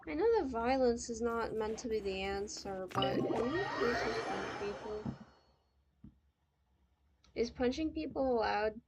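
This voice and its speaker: A woman's voice: fairly high pitch, measured pace, long pauses, low-quality recording. A woman streamer giving casual commentary while playing a video game. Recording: game music behind the voice.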